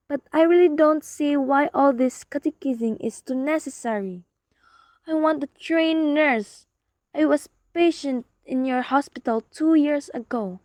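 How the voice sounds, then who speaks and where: A woman's voice: high-pitched, a little mellow, no room sound. A woman acting a nervous, demanding invalid wife in a suspense radio play, imperious and pleading.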